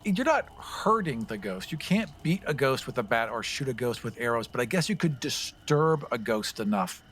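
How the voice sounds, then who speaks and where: A man playing a tabletop game, chatting casually and improvising story in an expressive way. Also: medium pitch, normal speed, slight background noise.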